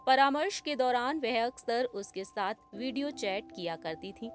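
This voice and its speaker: A woman presenter reading a scripted entertainment news report. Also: medium pitch.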